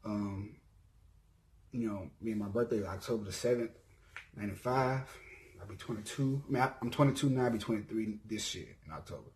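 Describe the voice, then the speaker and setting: Laid-back young Southern man, low voice. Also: a little fast, darkish tone, a little hiss or hum. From Mississippi. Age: 20s. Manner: laid-back, rambling, frequent ums and self-corrections. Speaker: YouTuber. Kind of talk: casual self-introduction vlog.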